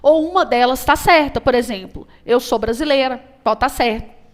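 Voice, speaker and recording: A woman's voice: high-pitched, normal speed. A woman, teaching a recorded physics lesson in a chatty, explanatory way and checking in with her students often. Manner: explanatory, repeats "tá?" and "beleza?", calls students "amiguinhos".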